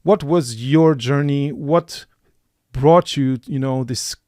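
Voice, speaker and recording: A man hosting a podcast interview, speaking in a halting, encouraging way with many restarts. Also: medium pitch, warm tone, dry recording, studio quality. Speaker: podcast host.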